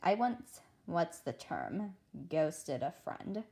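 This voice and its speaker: Storybook teacher, voiced by a woman narrator: gentle, sympathetic and a little dramatic, with lively intonation. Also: on the low side, slow, heavily acted. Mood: confiding. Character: kind young female French teacher, gently sympathetic.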